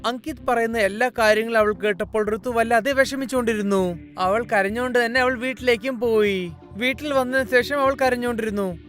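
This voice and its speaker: A man narrating a cartoon fairy tale in a plain, even storytelling manner. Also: extremely high pitch, brisk, faint music behind. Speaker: narrator and voice of several characters.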